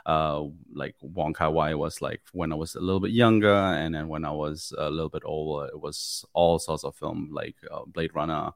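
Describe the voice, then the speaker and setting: A man's voice: extremely low pitch, steady tone, moderate pace, gravelly, few pauses, no echo, clean studio sound. A man from Germany in his thirties, a guest photographer speaking hesitantly with many ums and restarts on a video call. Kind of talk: casual interview chat. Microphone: studio mic on a video call.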